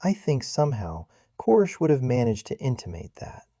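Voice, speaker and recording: A man voicing a bright, eager young woman, talking with gushing enthusiasm in an audiobook. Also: medium pitch, sing-song, moderate pace, no echo, clean studio sound, voice pushed up.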